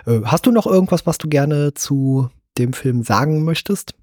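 A man's voice: a little high, lively intonation, moderate pace, darkish tone, breathy edge, no echo, clean studio sound. A man hosting a podcast and discussing films casually, with hesitant, conversational phrasing. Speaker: podcast host.

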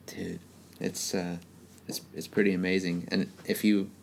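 A man's voice: deep voice, flat delivery, unhurried, gravelly, slight background noise. A man interviewing a guest in a casual chat, enthusiastic and slangy, heaping on praise.

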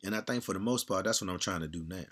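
A man talking bluntly and candidly in a casual monologue, with a strong, loud voice, repeating himself and swearing freely. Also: deep voice, racing.

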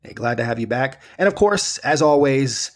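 A man's voice: medium pitch, expressive, normal speed. A young man hosting a film podcast, hyped and jokey, with a lot of slang. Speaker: podcast host. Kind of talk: casual chat.

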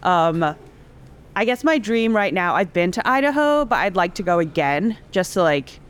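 A woman's voice: on the low side, expressive, normal speed, clear, resonant tone. A woman in her thirties, a cookbook author from Singapore, chatting casually as a podcast guest and filling her talk with "like". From Singapore, raised in Indonesia and Hong Kong. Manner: chatty, frequent "like" fillers, laughs easily.

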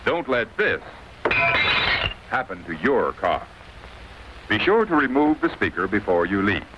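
A man's voice: lowish voice, huge pitch swings, slow, bright, forceful tone. A man making a vintage drive-in theater announcement. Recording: dull, lightly noisy vintage archive recording.

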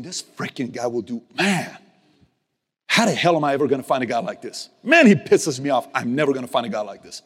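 Man, motivational leader, emphatic and repetitive, very lively delivery, reverberant hall. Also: a little high, husky. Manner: emphatic, repeats key phrases, swings from loud to hushed; casual street slang and mild cursing. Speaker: business leader speaking to his team. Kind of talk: motivational speech.

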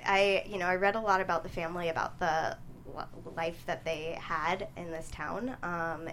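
A woman answering interview questions casually as a screenwriter, in a halting, thoughtful way. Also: fairly low pitch, fairly bright tone, a little hiss or hum. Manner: hesitant, thoughtful, halting delivery. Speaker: screenwriter guest being interviewed.

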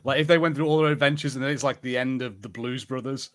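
A man's voice: medium pitch, steady tone, fast. A man co-hosting a podcast, chatting casually like an enthusiastic fan. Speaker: podcast co-host.

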